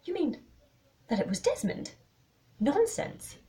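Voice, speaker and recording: A woman voicing an anxious, impatient young English woman in a mystery story, breathy and urgent. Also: sits high, very animated, takes it slowly, mellow, pitched above the performer's own voice, heavily acted. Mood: frightened.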